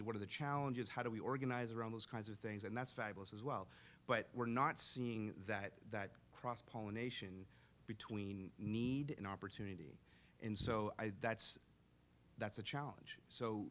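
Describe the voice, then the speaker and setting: A man's voice: lowish voice, a bit bright, slight background noise. A man speaking as a city government technology advisor on a public forum panel, candid and thinking aloud with frequent restarts. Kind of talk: panel discussion.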